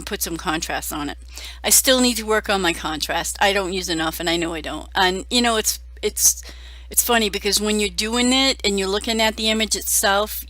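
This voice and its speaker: Hoarse, chatty woman crafter. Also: mid-range voice, pushed and bright, dry recording. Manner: chatty and self-deprecating, many fillers. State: hoarse from a cold. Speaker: card-making crafter. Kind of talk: casual crafting voice-over.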